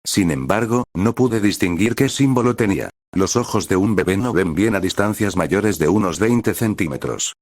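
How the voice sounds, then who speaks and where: A man narrating a fan fiction story in an even, measured reading. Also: lowish voice, dry recording, studio quality.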